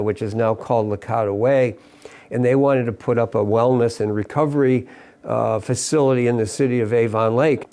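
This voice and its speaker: A middle-aged man with a voice of everyday pitch. He delivers a civic statement in an earnest, measured way, halting briefly and restarting his phrases as he goes. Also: darkish tone. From Ohio, USA. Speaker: mayor. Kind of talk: prepared civic statement to camera.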